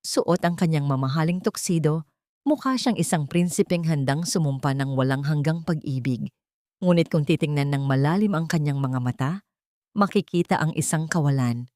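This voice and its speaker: A woman narrates a dramatic audio story in a low voice. The delivery is scripted storytelling, and the sound is close and dry. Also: darkish tone, no echo.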